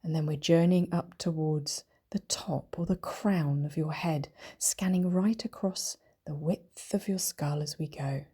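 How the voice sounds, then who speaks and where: A woman guides a meditation in a low, mellow voice. Her tone is soft and breathy, close to a whisper at times. She speaks gently and soothingly, leaving unhurried pauses between phrases. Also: fairly even intonation. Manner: gentle, soothing, slow and deliberate with long pauses. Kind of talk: guided meditation, body scan.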